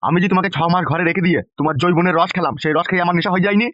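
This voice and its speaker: Man as bullying cartoon politician husband, very fast, blustering, dull lo-fi sound. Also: high-pitched, steady tone, no room sound, close to an everyday voice. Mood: possessive demanding. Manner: blustering, crude, exclaiming in repeats. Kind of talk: comic cartoon dialogue.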